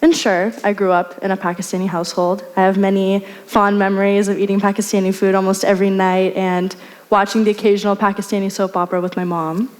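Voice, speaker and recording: A young woman from the United States, giving an earnest, measured personal talk as a student speaker. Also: medium pitch, flat delivery. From United States (Portland).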